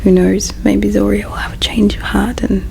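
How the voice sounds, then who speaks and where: A woman playing a gentle, caring alien in an ASMR roleplay, speaking in a slow, hushed, tender and wistful way with a breathy quality. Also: medium pitch, little pitch movement, slight background noise. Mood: wistful, reflective. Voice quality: breathy whisper with creaky edges. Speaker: ASMR performer. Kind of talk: ASMR roleplay, whispered and soft-spoken.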